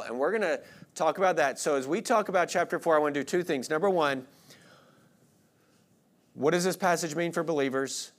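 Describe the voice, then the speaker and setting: A man, preaching an expository sermon in an informal, self-deprecating way with rhetorical questions to listeners. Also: a little high. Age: late 30s. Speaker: pastor preaching.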